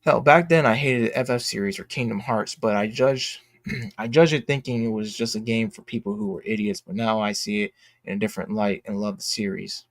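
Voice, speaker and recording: A man's voice: on the low side, dry recording. Blunt man with a very dry sound. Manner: halting, repeats phrases for emphasis; blunt, emphatic. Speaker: streamer and commentator. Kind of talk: casual opinionated livestream commentary.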